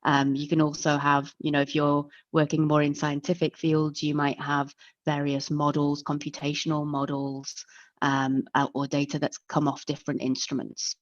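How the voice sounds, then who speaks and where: A woman's voice: low-pitched, completely flat delivery, normal speed, no echo. A woman presenting an online lecture and explaining things step by step in a friendly way. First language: English. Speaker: webinar presenter. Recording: dull lo-fi call audio.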